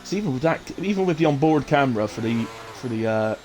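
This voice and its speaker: A man calls a sim race in a brisk, chatty play-by-play, his chest rough with a lingering cough. Engine noise and game sounds run clearly under his voice. He speaks at a middle pitch. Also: lively intonation, darkish tone. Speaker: race commentator. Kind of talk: live sim racing commentary. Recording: noisy stream mic with car sounds.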